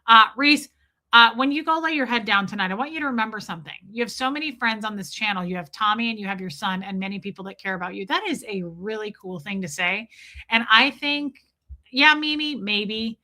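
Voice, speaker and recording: A woman's voice: a little high, brisk, sharp tone. A woman with a Southern accent, hosting a casual livestream and chatting with viewers by name. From Southern US, near Nashville. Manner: chatty, answers viewers by name, frequent restarts. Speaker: livestream host. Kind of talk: casual live chat reading comments.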